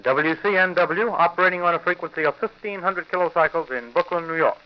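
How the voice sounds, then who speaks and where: A man's voice: a little high, a little fast, lo-fi sound. A man announcing a station in a formal old-time radio style, on a vintage recording.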